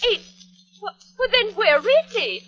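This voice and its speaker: Fretful, indignant wife, extremely high and sharp, wildly swooping delivery for a vintage radio thriller. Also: female voice, lively intonation, unhurried, faint music behind, full character voice. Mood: alarmed. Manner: fretful, agitated. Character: indignant, easily alarmed wife of a missing man. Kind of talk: 1940s radio drama acting.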